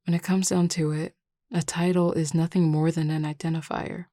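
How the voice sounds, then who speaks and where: A woman voicing a composed, teasing and reassuring werewolf pack leader in an audio roleplay, measured, assured and dryly wry. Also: sits low, little pitch movement, dark tone, no room sound, clean studio sound, close to an everyday voice. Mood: firm, reassuring. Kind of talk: intimate audio roleplay acting.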